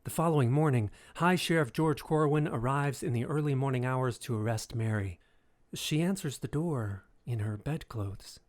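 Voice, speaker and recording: A man narrating a scripted audio drama in a measured, formal manner. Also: mid-range voice, a little mellow.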